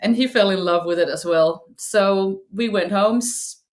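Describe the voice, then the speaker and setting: A middle-aged woman from Denmark with a Danish accent, chatting as a podcast guest, speaking English haltingly with many restarts and repeated words. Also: medium pitch. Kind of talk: casual chat.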